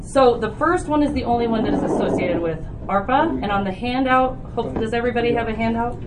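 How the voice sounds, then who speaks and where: A woman's voice: fairly high pitch, brisk. Woman presenting a budget at a meeting, far from the mic. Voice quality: loud voice. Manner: explanatory, organized, with frequent "so" and "uh". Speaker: health department official presenting to committee. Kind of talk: formal public meeting presentation. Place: county board meeting room. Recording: distant, noisy room recording.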